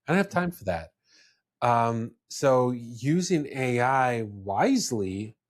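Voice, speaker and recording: A man hosting a casual business discussion, speaking in a blunt, opinionated way. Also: medium pitch. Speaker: podcast host.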